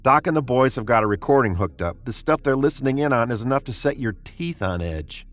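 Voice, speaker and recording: An actor playing a commanding spaceship captain, terse and grim, in the style of old-time sci-fi radio drama. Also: male voice, mid-range voice, lively intonation, fairly quick, slight background noise, lightly acted. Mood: brisk. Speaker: actor and story narrator.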